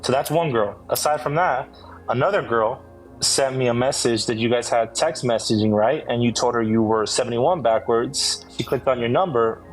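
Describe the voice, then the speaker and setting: A man's voice: mid-range voice, moderate pace, faint music behind, poor audio. A man interviewing someone in a pressing, accusatory way, using casual street slang. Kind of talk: confrontational interview.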